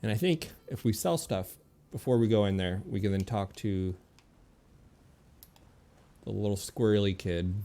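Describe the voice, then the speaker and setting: Deep, gravelly man casually streaming a game. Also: normal speed, pauses often, mellow. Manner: rambling, thinking aloud. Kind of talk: casual gameplay commentary.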